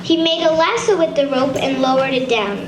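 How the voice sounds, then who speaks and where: A woman's voice: high voice, fairly quick. A woman reading a children's story aloud as narrator of a puppet show, sometimes stumbling over the words. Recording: echoey room, far from mic, noisy.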